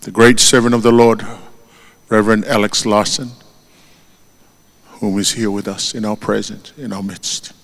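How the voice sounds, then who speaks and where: A man with a Tongan accent, whose first language is Tongan, delivering a formal funeral eulogy in a solemn, measured way and lifting his voice on each name. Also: fairly low pitch, flat delivery, gravelly. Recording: long hall reverb.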